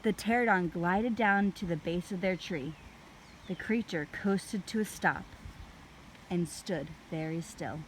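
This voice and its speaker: A woman reading a children's book aloud in an expressive, deliberate storytelling manner. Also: fairly low pitch, a little hiss or hum, poor audio.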